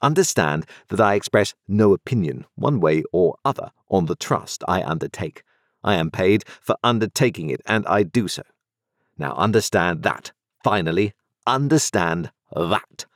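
A man's voice: low-pitched, lively intonation, moderate pace, no echo, studio quality. A man voicing a stern, curt middle-aged lawyer who gives commands.

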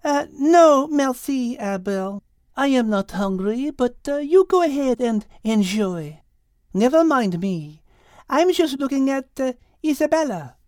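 A little french girl cat for a kids audio story, polite n clever, unhurried, super swingy melody. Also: sits high, lively intonation, a little mellow, no room sound, clean studio sound. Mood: polite, studious. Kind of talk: children's audio drama acting.

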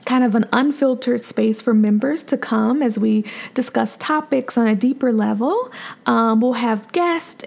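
A woman hosting her own podcast as a mom therapist and coach, talking in a soft, calm, friendly way. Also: fairly high pitch, creaky. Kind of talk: solo podcast monologue.

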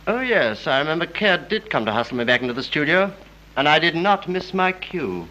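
A man's voice: on the high side, very animated, brisk, bright, forceful tone. A man playing a haughty, ailing, irritable actor in a 1930s British film. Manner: haughty, defensive, clipped. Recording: dull 1930s film soundtrack.

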